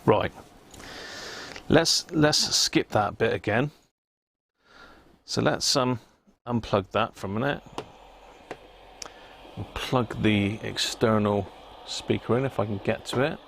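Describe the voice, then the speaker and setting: A British man with a fairly low, creaky voice chats casually on a live hobby stream. He mutters to himself in a rambling, self-mocking way, with clear noise behind him. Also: slow pace, pauses often, fairly bright tone. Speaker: livestream host showing radio gear. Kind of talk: casual live chat, thinking aloud.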